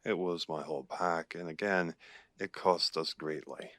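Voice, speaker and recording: A man playing a guarded, seasoned werewolf pack leader with an Irish accent in an audio roleplay, speaking in a measured, grave way. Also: deep voice, steady tone, a bit bright, no room sound.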